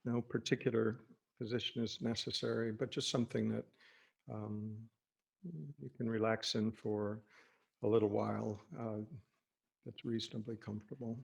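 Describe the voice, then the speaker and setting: A man leading an unscripted guided meditation as a teacher, speaking gently and reassuringly in halting phrases with pauses. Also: fairly low pitch, level tone, vocal fry, husky.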